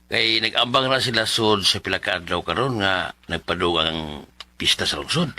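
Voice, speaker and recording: A man's voice: low voice, expressive, moderate pace, background noise. A man acting an apologetic, unhelpful village official in a radio drama, deferential, with a Cebuano accent.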